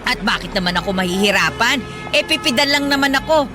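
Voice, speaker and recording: A woman's voice: fairly high pitch, lively intonation, brisk, over music, natural voice. An actress playing a hardworking, affectionate mother in her late thirties in a Filipino radio drama, colloquial and playfully indignant. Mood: proud, upbeat. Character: hardworking, proud, affectionate 37-year-old mother driving a pedicab.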